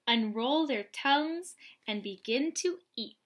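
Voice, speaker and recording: A young adult woman reading aloud and explaining gently, as a teacher does for young children. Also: high-pitched, expressive, measured pace. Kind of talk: children's read-aloud and lesson. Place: small lamp-lit room at home, indoors.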